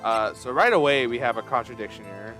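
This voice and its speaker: A man's voice: mid-range voice, animated, a little fast, sharp tone. A man who speaks English as a second language, doing casual, self-mocking commentary while he plays a video game and thinks aloud. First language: not English. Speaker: streamer playing a video game. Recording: music behind the voice. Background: game music.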